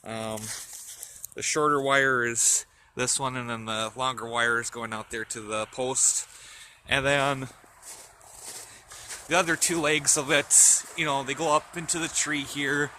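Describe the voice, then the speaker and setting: A man giving a casual, unscripted show-and-tell as a vlogger outdoors, speaking in a halting way as he thinks aloud. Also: mid-range voice, steady tone, pushed and bright. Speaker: hobbyist vlogger. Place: outdoors in a yard in winter. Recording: outdoor camera audio with faint background noise.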